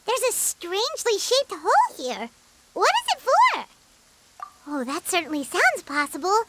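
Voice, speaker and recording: Tiny squeaky fairy sidekick. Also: female voice, huge pitch swings, a little slow, noisy background, heavily acted. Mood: curious, excited. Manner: chirpy, third-person self-reference, exclamatory. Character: tiny, excitable childlike floating fairy companion. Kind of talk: video game voice acting.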